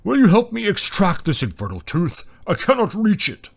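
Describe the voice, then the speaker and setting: A man voicing a huge old male dragon, cranky and wry, with a deep, booming, slow delivery for a fantasy story. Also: huge pitch swings, creaky, full character voice. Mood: gruff, dry.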